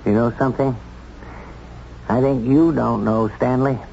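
An American man acting a plain-spoken, self-doubting small-town sheriff in a 1970s radio drama, with a folksy, halting, candid delivery. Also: mid-range voice, fairly even intonation, creaky. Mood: measured, reassuring. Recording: dull, noisy 1970s radio drama recording.

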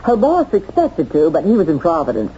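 Mellow, animated young office worker. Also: female voice, fairly low pitch, brisk. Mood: chatty, uneasy. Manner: conversational, hesitant, emphatic exclamations. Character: guarded, chatty young female office worker being questioned. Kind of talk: 1970s radio drama acting. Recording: dull, faintly noisy old radio recording.

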